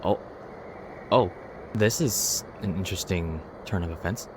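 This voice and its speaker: A man's voice: low-pitched, unhurried, very noisy. A man giving casual commentary as he plays through a game's story. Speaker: gamer reacting to a story quest.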